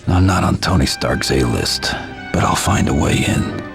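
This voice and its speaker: Hard-bitten vigilante antihero: extremely low gravel, clipped deadpan lines, game cutscene, music behind. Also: male voice, moderate pace, heavily acted. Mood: grim, curt. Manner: terse, deadpan. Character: grim, curt, gravel-voiced male vigilante antihero. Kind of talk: video game cutscene acting.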